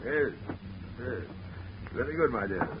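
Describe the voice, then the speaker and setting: An actor playing a suave, menacing gentleman villain in his fifties, pompous and courtly, in 1940s radio drama style. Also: medium pitch, expressive, a little slow, close to an everyday voice. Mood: amused menace. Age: 50s. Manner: pompous, courtly, mock-genial. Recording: muffled, noisy 1940s radio recording.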